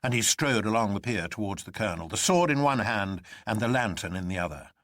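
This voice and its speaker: Animated male storyteller, dry sound. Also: on the low side, fairly quick, no room sound. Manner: theatrical, expressive. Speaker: narrator. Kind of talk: dramatic literary narration.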